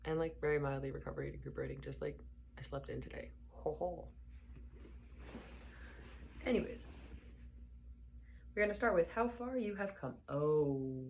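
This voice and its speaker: A young woman with a hoarse, slightly lost voice, sounding tired, chatting casually and then reading a book aloud softly. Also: sits low, lively intonation, a little hiss or hum. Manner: tired, soft and unhurried. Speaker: book reader vlogger.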